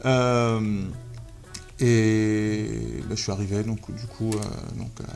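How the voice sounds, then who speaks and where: A man in his thirties from Algeria, a photographer guest telling his story in a relaxed interview, hesitant with many fillers and restarts. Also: fairly low pitch, slow pace, soft background music. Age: 30s. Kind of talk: casual interview talk.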